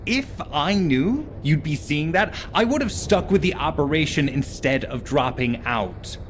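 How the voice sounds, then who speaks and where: A man's voice: a little high, lively intonation, a little slow, slight background noise. A man playing a snide, moody scientist in a video game, sarcastic, dismissive and theatrical. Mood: smug, amused. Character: snide, moody, irritable male scientist who dropped out. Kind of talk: video game cutscene acting.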